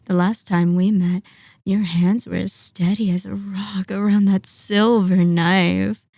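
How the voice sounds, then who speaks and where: A possessive female vampire lord, acted by a woman, speaks in a soft, mellow tone. She draws out her words slowly with mock courtesy and teasing menace. The ASMR roleplay sounds very close and dry. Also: mid-range voice, little pitch movement, vocal fry, dry recording. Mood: mocking sweetness. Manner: silky, teasing, mock-polite, drawn-out menacing delivery. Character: sadistic, possessive female vampire lord toying with captive hunter.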